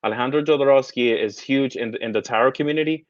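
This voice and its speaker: A man's voice: medium pitch, fast talker, dry recording. Hesitant man, dry dull recording. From United States, raised partly in the Caribbean. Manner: hesitant, many restarts and fillers. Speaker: guest, tarot artist. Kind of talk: casual interview conversation.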